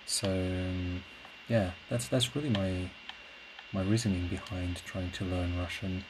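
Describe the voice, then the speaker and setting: Low, even-voiced man rambling reflectively. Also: unhurried. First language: Portuguese. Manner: hesitant, reflective, searching for words. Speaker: podcast host; classical singer. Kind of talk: casual solo rambling monologue. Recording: clear steady background noise.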